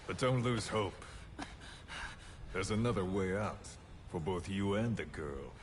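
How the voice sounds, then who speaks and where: A man playing a calm, sadistic military interrogator in a video game, speaking deliberately and tauntingly. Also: sits low, background noise. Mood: cold taunting.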